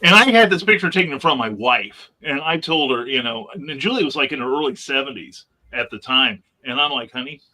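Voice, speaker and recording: Middle-aged man, very sharp bright voice, wry storyteller, casual panel guest, acts out quotes. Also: mid-range voice, animated, a little fast, hardly any pauses, poor audio. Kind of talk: casual anecdote telling.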